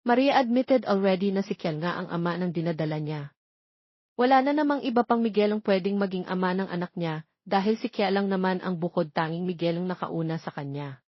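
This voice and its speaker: A woman's voice: fairly low pitch, brisk, no room sound, highs cut off. A woman with a Filipino accent reading a scripted romance audiobook, voicing the dialogue as she goes. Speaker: audiobook narrator. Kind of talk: scripted romance story narration with voiced dialogue.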